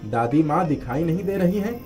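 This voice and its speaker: A respectful village headman in a cartoon moral tale, played by a man. His mellow voice swings widely in pitch, expressive and eager. Faint music sits underneath. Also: moderate pace, close to an everyday voice. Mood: worried, puzzled. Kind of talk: Hindi animated moral story acting.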